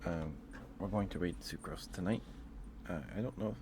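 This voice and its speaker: Male ASMR streamer, deep register, informal halting delivery, audibly noisy recording. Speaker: live streamer. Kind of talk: casual ASMR livestream chat.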